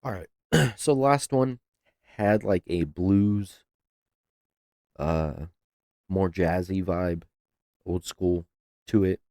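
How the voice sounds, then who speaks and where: A man's voice: low voice, drawn-out words, pauses often, a little mellow, no room sound, studio quality. A man hosting a reaction video, talking casually with loose swearing and laughs. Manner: laid-back, swears freely, laughs.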